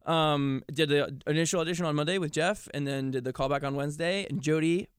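Young man, slightly high pitch, dry sound, stage actor guest, casual storytelling, frequent "like". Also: a little fast, clear, resonant tone, no room sound. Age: young adult. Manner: uses "like" often, acts out quoted remarks.

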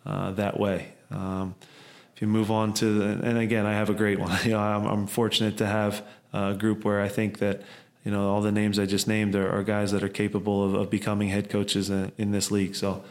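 A man's voice: on the low side, monotone, a little fast. A middle-aged man, a soccer head coach, answering interview questions at length, rambling with many fillers and restarts.

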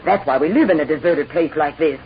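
A woman's voice: deep voice, quick pace. A woman playing a brusque, hard, scheming outlaw's wife in a 1950s radio western. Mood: evasive. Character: heavily built, gruff, scheming female outlaw's wife. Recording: dull old radio recording, faint noise.

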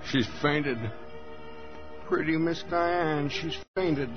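An actor playing a brooding, simple-spoken middle-aged handyman, halting and creepy, in 1940s radio style. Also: a little high, fairly even intonation, over music. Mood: dazed. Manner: halting, plain ungrammatical speech. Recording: dull, noisy 1940s radio recording.

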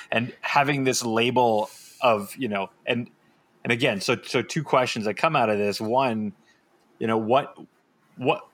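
Podcast host, low voice, winding questions. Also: male voice, fairly bright tone. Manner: long, winding questions with restarts. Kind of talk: interview questions.

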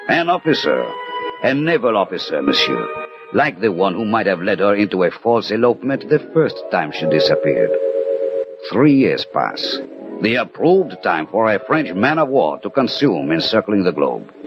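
An actor playing a shrewd, commanding French detective in a theatrical, deliberate 1960s radio drama style. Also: male voice, deep voice. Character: shrewd, commanding French gentleman detective in 19th-century Paris. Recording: old muffled radio broadcast with loud music.

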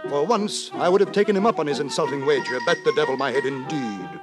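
An actor playing an earnest, long-suffering, moralizing gentleman narrator in a theatrical, formal 1950s radio drama. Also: a little high, fast, natural voice. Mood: indignant, resigned. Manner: theatrical, formal, fretful storytelling. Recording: 1950s radio broadcast with music.

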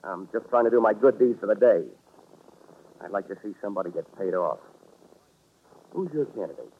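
Tough hard-boiled detective, tinny radio. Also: male voice, fairly low pitch, animated, brisk, husky. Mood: probing, steady. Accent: American. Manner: hard-boiled, wry, clipped wisecracks. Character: tough, sardonic male private investigator. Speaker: lead actor and narrator. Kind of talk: 1940s radio detective drama acting. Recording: tinny, noisy old radio recording.

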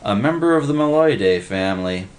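A man's voice: lowish voice, animated, fairly quick, natural voice. Man as a pompous, learned bum lecturing. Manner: lecturing, wordy, pedantic. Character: pompous, learned male bum, bossy know-it-all.

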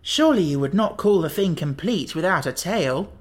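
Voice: mid-range voice, animated, normal speed, close to an everyday voice. A storybook reader voicing a naive, eager pumpkin-headed man with an earnest, questioning manner. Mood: insistent.

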